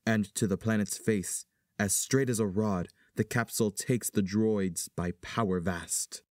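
A man's voice: on the low side, unhurried, darkish tone, dry recording, studio quality, natural voice. A man playing a formal chorus, narrating in rhymed verse for a Shakespearean dramatic reading. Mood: stately.

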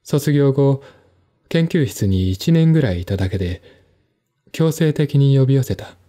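A man playing a composed, articulate man in a one-man audio drama, explaining things in a measured, reflective way. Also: medium pitch, animated, mellow, natural voice. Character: composed, articulate man recounting his stepmother's death.

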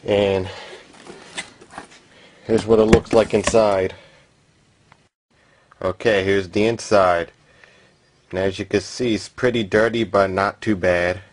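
A man with a fairly low voice talks casually through a hands-on demonstration. He is plain-spoken and matter-of-fact, like a hobbyist filming at home on a camera microphone. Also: steady tone, takes it slowly, pauses often. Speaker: vintage radio hobbyist demonstrating a repair. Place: indoors at home, voice from behind a handheld camera.

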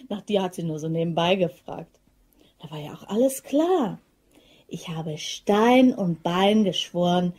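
A woman's voice: mid-range voice, dark tone, slightly rough. An actress playing an amused, conspiring female office worker in a crime radio drama, with a chatty, wry manner. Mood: amused, gossipy.

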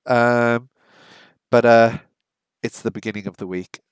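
A middle-aged man live-streaming from a small room at home on a headset mic, chatting casually and repeating practice phrases slowly. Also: medium pitch, steady tone, clean tone, no echo. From English first language, lives in Hong Kong. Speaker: streamer learning Japanese.

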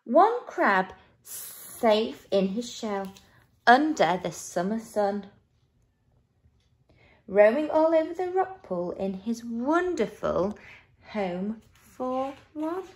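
A woman reading a picture book to young children in an expressive, dramatic way. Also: on the high side, slow pace, long pauses.

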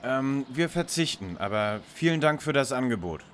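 An actor playing a polite, precise young lead detective in a parody detective radio play. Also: male voice, mid-range voice, lively intonation, normal speed, a little hiss or hum, natural voice. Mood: courteous. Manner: formal, courteous, precise.

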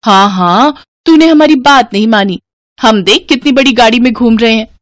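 Woman doing a snobby rich married sister for a cartoon, high voice, mocking and boastful. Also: normal speed, no echo, clean studio sound, close to an everyday voice. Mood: mocking, gloating. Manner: mocking, gloating. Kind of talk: animated moral story character acting.

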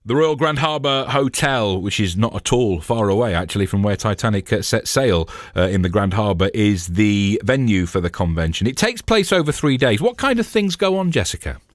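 A man's voice: on the low side, animated, normal speed, hardly any pauses, dry recording, studio quality. A man presenting a local radio interview, chatty and full of fillers and restarts. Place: radio studio. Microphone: studio microphone.

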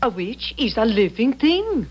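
A woman's voice: on the high side, big pitch swings, a little slow, husky, heavily acted. An actress in a 1970s radio drama playing an eager, superstitious servant woman, emphatic yet deferential. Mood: insistent, superstitious. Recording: 1970s radio broadcast, music behind.

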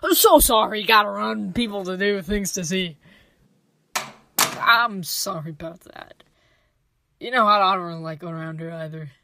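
Easygoing, dryly joking guard. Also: female voice, mid-range voice, moderate pace, gravelly, pauses often, fairly bright tone. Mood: wry. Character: wry, loyal, reassuring female palace guard. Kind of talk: fantasy audio drama acting.

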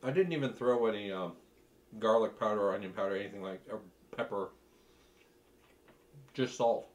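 A middle-aged man with a fairly low voice talks casually through a food tasting. He is halting and thoughtful, often pausing between bites as he works out what to say. It sounds like a home cook hosting at his own kitchen table. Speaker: cooking video host.

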